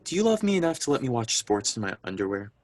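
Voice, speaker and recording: A man's voice: mid-range voice, big pitch swings, fast, dry recording, lightly acted. A young man playing an exasperated, evasive boyfriend in a contemporary radio drama, defensive and bantering. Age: young adult. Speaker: student voice actor.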